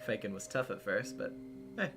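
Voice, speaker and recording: A performer voicing a guarded young woman in a visual novel, dry, flippant and casually deadpan. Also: medium pitch, moderate pace, natural voice. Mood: guarded, flat. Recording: music behind the voice.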